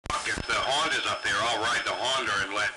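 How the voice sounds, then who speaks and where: A man's voice: on the low side, a little fast. Man chatting over noisy CB radio. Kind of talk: casual chat over CB radio.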